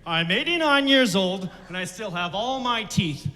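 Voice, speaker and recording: A man's voice: high voice, animated, normal speed, pushed and bright. A man playing Friedrich, a boastful old man of eighty-nine, in a live stage skit. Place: theater stage. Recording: theater hall reverb.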